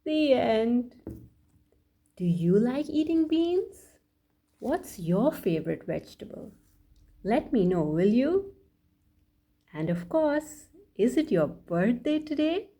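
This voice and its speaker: A woman reading a bedtime story aloud for children as a storyteller, addressing them directly in a deliberate way. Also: fairly high pitch, big pitch swings, mellow. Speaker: children's storyteller and channel host.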